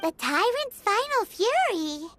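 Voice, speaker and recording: Tiny squeaky childlike fairy sidekick. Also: female voice, sing-song, slow, a bit bright, heavily acted. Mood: amazed. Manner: speaks of herself in third person, exclamatory. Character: tiny cheerful childlike floating fairy companion, earnest and chatty. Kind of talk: video game character acting.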